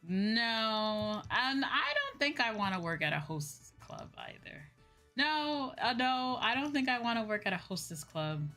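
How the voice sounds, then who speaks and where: Young woman, VTuber-style streamer. Bright tone, rambling casual chat with repeated phrases, music playing behind. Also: on the high side, big pitch swings, a little slow. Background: background music.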